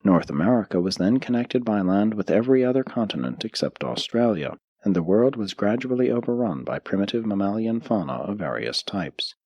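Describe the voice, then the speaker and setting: Guy reading an audiobook slow and steady, dry close sound, huskyish. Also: fairly low pitch, husky, no room sound, highs cut off. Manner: measured, steady reading with pauses between list items. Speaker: audiobook narrator. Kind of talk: scripted audiobook narration.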